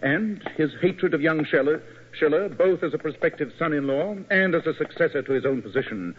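An actor playing a self-assured English gentleman detective in 1940s radio drama, British accent, commanding and dryly composed. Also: a little high, expressive, fairly quick, slightly rough. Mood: composed, knowing. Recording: dull, muffled old radio recording.

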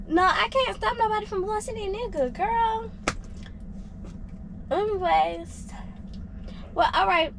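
A young woman sitting in a parked car, chatting to the camera and to a friend in a lively, slangy, exclaiming way. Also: very high voice, measured pace, creaky, long pauses. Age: young adult. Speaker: friend left waiting. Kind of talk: casual chat and talking to camera. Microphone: dashboard camera. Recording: noisy car interior.

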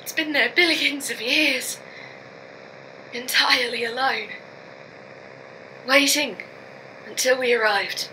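Woman playing a halting, dying astronaut, sharp bright voice. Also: sits high, exaggerated voice, poor audio. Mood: pleading, fearful. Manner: halting, broken sentences with repeated words. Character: dying woman astronaut engineer, frightened, grieving, resigned. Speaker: actor in audio drama. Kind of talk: science fiction audio drama acting. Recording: faint hum and noise behind.

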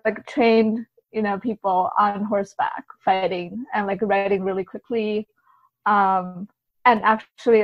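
A woman speaking as an interview guest, casually recounting experiences in a halting, think-aloud way. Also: mid-range voice, no room sound, highs cut off. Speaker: podcast guest, writer and translator.

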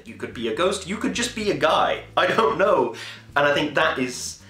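A man's voice: medium pitch, fairly bright tone, echoey room. A man in his twenties chatting casually to camera in a rambling, enthusiastic way, swearing now and then. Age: 20s. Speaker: podcast host. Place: small home room, indoors.

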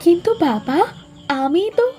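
A woman voicing a meek, innocent young princess in a fairy-tale cartoon, plaintive and gently pleading. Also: sits high, sing-song, normal speed, soft background music.

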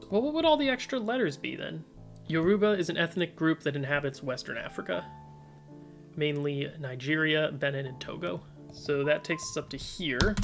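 A man's voice: fairly high pitch, a bit bright. A young man streaming from his desk, casually thinking aloud as he solves puzzles and reads viewers' suggestions. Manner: reads chat suggestions aloud, tentative musing. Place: indoor room at desk.

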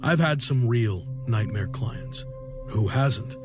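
A man's voice: medium pitch, expressive, slow pace. An actor playing a breezy, self-satisfied male realtor, telling his story conversationally in a first-person horror audio drama. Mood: breezy, confiding. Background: music.

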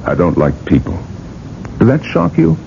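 An actor playing a cynical, arrogant, miserly older businessman in a radio drama, gruff and sardonic with mocking, drawn-out emphasis. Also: low voice, wildly swooping pitch, mellow, exaggerated voice. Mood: mocking, scornful. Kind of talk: 1980s radio drama acting. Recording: muffled, noisy old radio broadcast.

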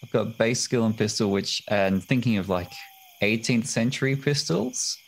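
Young guy in an rpg call, kinda low voice, dry jokey banter, slight background noise. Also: normal speed, rough recording. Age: young adult. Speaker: player in a tabletop game. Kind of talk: casual banter. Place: small room at home.

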